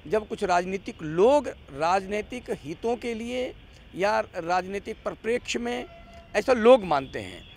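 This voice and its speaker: A man argues his political case in an interview, with a somewhat high voice full of gravelly creak. He is emphatic, repeating phrases and throwing out rhetorical questions. Faint ambient noise sits behind him. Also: lively intonation, measured pace. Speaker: interview guest giving political commentary.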